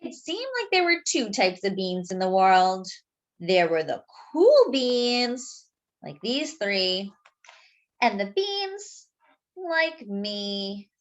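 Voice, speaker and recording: A woman reading a children's picture book aloud, pausing to point out details in the pictures. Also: medium pitch, very animated. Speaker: children's storyteller.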